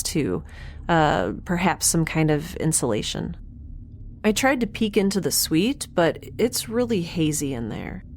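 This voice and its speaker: A woman's voice: deep voice, a little mellow, a little hiss or hum, heavily acted. A woman playing a wry, stubborn young scientist in a space audio drama, with a dry, sardonic and precise way of talking. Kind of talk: audio drama acting, first-person log narration.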